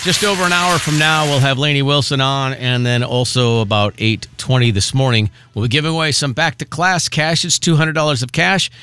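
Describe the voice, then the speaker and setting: A man's voice: medium pitch, fairly even intonation, brisk, hardly any pauses, sharp tone. A man co-hosting a morning radio show, announcing promos and chatting easily. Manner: easygoing, agreeable, laughs along. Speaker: radio co-host. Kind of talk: casual morning radio banter.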